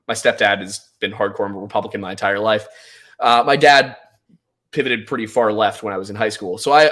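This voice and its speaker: A man's voice: medium pitch, a bit bright. This is an American man in his twenties with an ordinary mid-range voice. He talks as a guest on a podcast, casual and conversational. He tends to explain at length, telling things as personal stories.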